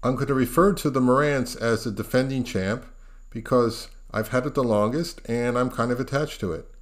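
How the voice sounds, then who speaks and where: Middle-aged man narrating a scripted review. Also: medium pitch. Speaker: audio review channel host.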